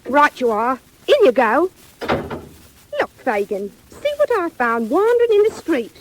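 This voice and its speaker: Lively streetwise thief girl. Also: high voice, a little slow. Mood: hard, scornful. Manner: coaxing or curt, colloquial. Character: streetwise young woman thief, sweet-talking then hard. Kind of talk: 1940s audio drama acting. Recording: old 1940s record with faint noise.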